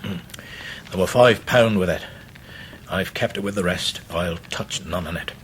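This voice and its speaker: Radio drama role: devoted old East Anglian fisherman, rustic, earnest, lowish voice, noisy scene. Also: a little slow. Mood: earnest, subdued. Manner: rustic dialect, plain-spoken and earnest. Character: humble, devoted old working-class fisherman searching for his niece. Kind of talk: full-cast radio drama acting.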